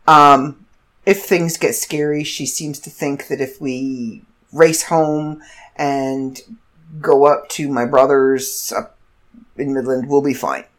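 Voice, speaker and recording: A woman's voice: rumbling low, fairly even intonation, takes it slowly. A congested woman in her fifties, hosting a casual solo podcast and rambling through stories with self-corrections. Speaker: podcast host.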